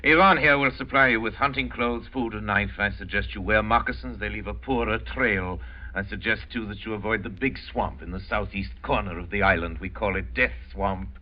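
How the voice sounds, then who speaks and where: An actor playing a suave, sinister aristocratic general who hunts men, urbane and mock-courteous, in 1940s radio drama style. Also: male voice, on the low side, a little fast, lightly acted. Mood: courteous, calculating. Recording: muffled old radio recording.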